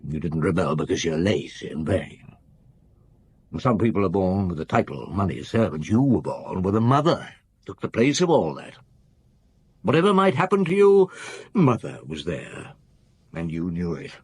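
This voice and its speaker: An actor playing a weary, probing middle-aged police chief inspector in a radio drama, deliberate and authoritative, with a husky voice. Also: male voice, low voice, very animated, heavily acted. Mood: probing, scornful. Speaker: lead actor and narrator in a radio detective drama.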